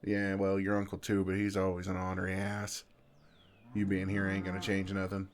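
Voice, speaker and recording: Low-voiced, soothing Southern farmhand, acted by a man. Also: monotone, normal speed. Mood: wry, warm. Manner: easygoing, folksy, soothing drawl. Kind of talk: intimate ASMR roleplay acting.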